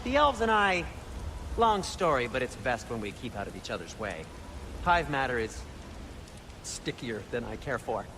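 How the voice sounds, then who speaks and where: A man's voice: medium pitch, very animated, normal speed, pauses often, pushed and bright. An actor playing a fussy, squeamish, nervously polite dwarf craftsman in a video game, with a hesitant, rambling manner. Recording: clear storm noise behind.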